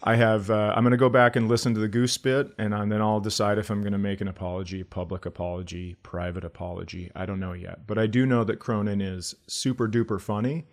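A man from the northern United States, a comedian co-hosting a podcast with casual, deadpan banter. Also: fairly low pitch, fast, hardly any pauses. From northern United States, near Canada.

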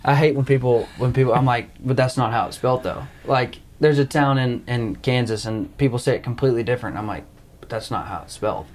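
A man's voice: medium pitch, fast talker, a little mellow. A young man from Arkansas hosting a hunting podcast in a casual, joking, rambling way. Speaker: podcast host. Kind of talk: casual chat.

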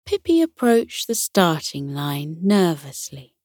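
Unhurried low-voiced woman telling bedtime stories. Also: sing-song, a little mellow, dry recording, clean studio sound. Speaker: bedtime story narrator. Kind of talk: scripted children's bedtime story narration.

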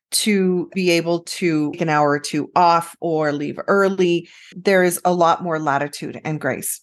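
A woman co-hosting a podcast, giving direct, emphatic hiring advice with confidence. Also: fairly low pitch. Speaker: podcast co-host, recruiting expert. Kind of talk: casual conversation about recruiting.

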